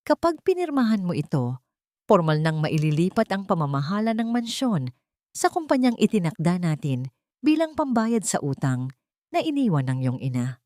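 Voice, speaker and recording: A woman voicing an elderly male lawyer in an audio story, solemn, measured and caring. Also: fairly low pitch, big pitch swings, a little mellow, no room sound, clean studio sound. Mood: grave concern.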